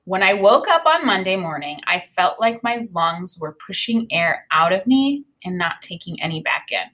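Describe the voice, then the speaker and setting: A woman teacher reading a children's novel aloud in an expressive way, with informal, explanatory think-aloud comments. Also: on the low side, normal speed.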